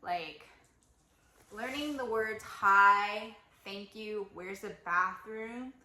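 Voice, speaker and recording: A woman's voice: medium pitch, very slow, sharp tone. A young woman giving casual travel advice to camera, chatty and full of fillers. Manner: chatty, lots of "like" and "you know". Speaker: travel vlogger. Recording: echoey room.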